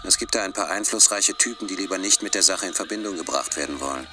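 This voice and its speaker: A man's voice: low-pitched, fast talker, pushed and bright. A man playing a guarded, streetwise hacker informant in a German radio play, terse and conspiratorial. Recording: music behind the voice.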